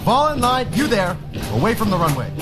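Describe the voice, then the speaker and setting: An actor playing a bombastic, gloating military villain in a pulp radio drama. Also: male voice, sits high, sing-song, fairly quick, music in the background. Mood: barking orders.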